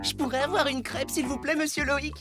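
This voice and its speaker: A woman's voice: high-pitched, fairly even intonation, brisk, lightly acted. A woman voicing a shy, easily discouraged young boy in a children's cartoon, with a childlike, hesitant, whiny delivery. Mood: timid. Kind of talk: French children's cartoon voice acting. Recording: music behind the voice.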